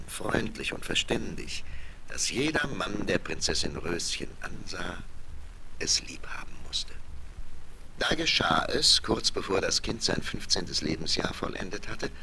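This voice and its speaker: Guy reading a fairy tale, very animated, creaky voice, expressive, old vinyl. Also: deep voice. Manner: expressive, deliberate storytelling. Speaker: storyteller. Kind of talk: scripted fairy-tale narration. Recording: noisy 1970s vinyl record.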